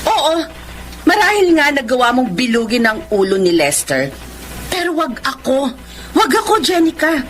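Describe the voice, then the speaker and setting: A woman's voice: high voice, very animated, moderate pace, a bit bright, slight background noise. An actress with a Filipino accent playing a haughty, condescending woman who sneers at a rival in a radio drama. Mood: scornful mocking. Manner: mocking, condescending. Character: haughty, sneering woman accusing a younger rival of gold digging.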